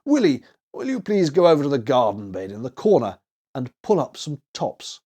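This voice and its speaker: A man's voice: mid-range voice, huge pitch swings, normal speed, warm tone, husky. A man voicing a merry, jolly old man with a hearty, jovial manner in a storybook reading. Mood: merry, welcoming.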